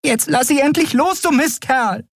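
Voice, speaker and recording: A man's voice: normal speed, vocal fry, fairly bright tone, no room sound, clean studio sound, full character voice. A man voicing a tiny, fiercely loyal female fantasy creature in an audiobook, protesting desperately. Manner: high, protesting, exclaiming. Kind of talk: dramatic audiobook character voice.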